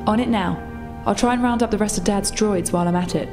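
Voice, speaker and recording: A woman playing a calm, practical technician in a tabletop roleplay, explaining things matter-of-factly. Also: medium pitch, fast, over music, lightly acted.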